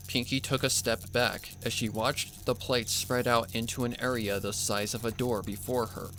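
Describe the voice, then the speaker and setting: A man's voice: mid-range voice, little pitch movement, normal speed, bright, forceful tone, soft background music. A man reading a dramatic scripted story as narrator, with noise behind him. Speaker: narrator reading fan fiction.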